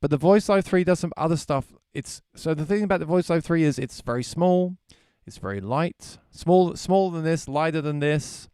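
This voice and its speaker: A man's voice: fairly high pitch, expressive, normal speed, mellow, clean tone, dry recording, studio quality. A man chatting casually on a livestream while demoing music gear, thinking aloud and often repeating words. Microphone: vocal mic through effects unit.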